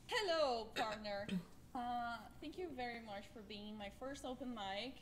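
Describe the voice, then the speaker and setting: A young woman in her twenties records a friendly, slightly nervous greeting into her cell phone. Her voice sits a little high, and she slips in small laughs. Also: unhurried, background noise. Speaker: duet partner.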